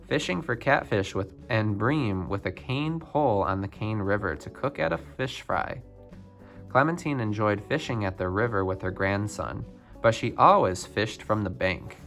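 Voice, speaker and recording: A man reading a children's picture book biography aloud, phrase by phrase with expressive emphasis. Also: on the low side. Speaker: storybook reader.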